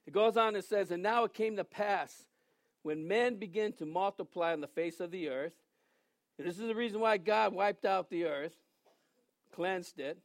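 A man in his sixties preaching a sermon in a joking, conversational way, reading scripture aloud slowly between asides. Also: high voice, dry recording, clean studio sound. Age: 60s. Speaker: pastor.

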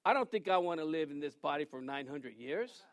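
A man in his sixties preaching a sermon in a joking, conversational way, reading scripture aloud slowly between asides. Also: fairly high pitch, lively intonation, no room sound. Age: 60s. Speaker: pastor.

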